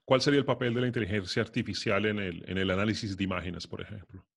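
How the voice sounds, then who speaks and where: A man's voice: on the low side, steady tone, fast talker, vocal fry. A middle-aged man asking questions conversationally as the interviewer on a video call. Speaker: host, interviewer. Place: indoors, living room, video call. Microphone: desk microphone with headphones.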